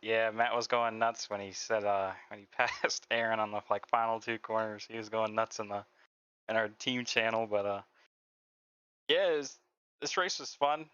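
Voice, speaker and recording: A man, a sim racing driver, talking casually and haltingly about his race over voice chat. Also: lowish voice, clean tone, no echo. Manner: relaxed, halting, lots of "like" and "uh". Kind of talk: casual post-race interview. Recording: dry, dull voice-chat audio.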